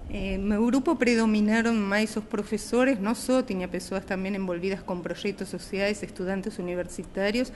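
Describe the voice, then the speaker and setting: A woman from Argentina, her Portuguese carrying an Argentine Spanish accent, speaks at a moderate, middle pitch. She pauses often and searches for her words as she answers an interviewer. Faint noise runs behind her. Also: fairly even intonation, darkish tone. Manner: hesitant, searching for words with many fillers. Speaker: teacher, group facilitator.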